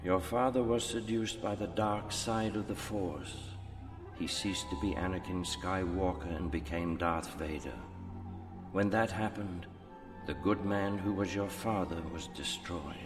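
An actor playing a grave old mentor's spirit in a radio drama, speaking in a measured, formal, admonishing way with ghostly reverb. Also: male voice, low-pitched. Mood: solemn, explaining. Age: older. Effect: long ghostly reverb. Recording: long reverb, music behind.

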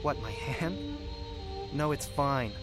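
An earnest young starfighter pilot played by a man, gentle yet resolute. His pitch is medium. Music swells clearly behind him in this radio drama. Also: slow, close to an everyday voice. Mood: calm, resolute.

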